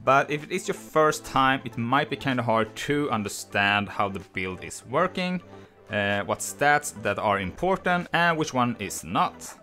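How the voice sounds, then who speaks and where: A man with a Swedish accent talks through a game levelling guide in an easygoing, matter-of-fact way. His pitch is average for a man, and soft music plays faintly under the voice-over. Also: measured pace. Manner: relaxed, explanatory, slightly non-native phrasing. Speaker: gaming channel host.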